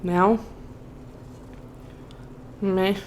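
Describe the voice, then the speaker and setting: A woman's voice: medium pitch, steady tone, drawn-out words, a little mellow, a little hiss or hum. A woman in her early thirties chatting casually and expressively as a mukbang vlogger, with informal asides. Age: early 30s. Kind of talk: casual chatty storytime while eating.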